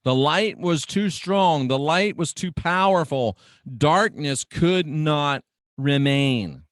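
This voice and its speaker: A man's voice: a little high, expressive, slow, no echo, studio quality. A middle-aged man preaching on the radio in a dramatic, emphatic teaching style, repeating phrases for weight. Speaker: radio preacher and show host.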